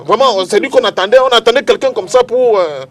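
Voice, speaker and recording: A man's voice: very high voice, a little fast. A Cameroonian-accented man debating politics as a panel guest, speaking emphatically and repeating phrases for effect.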